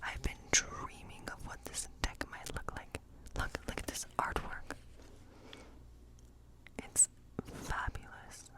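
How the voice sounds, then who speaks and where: A woman playing a quirky, playfully spooky witch in a whispered ASMR role play, murmuring haltingly and repeating words to herself. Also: natural voice, poor audio. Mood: delighted, excited. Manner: hushed, halting, muttering repetitions to herself.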